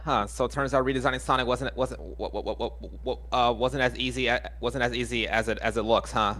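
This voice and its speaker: A man with a medium-pitched voice speaks as an audience questioner at a panel. He is casual, polite and grateful. He stammers and repeats words as he goes. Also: steady tone, fairly quick, fairly bright tone.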